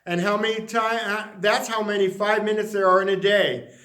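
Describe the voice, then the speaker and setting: A man reading a children's story expressively, with dramatic, drawn-out emphasis on words. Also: high voice, fairly even intonation, bright, forceful tone, roomy sound. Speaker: story reader.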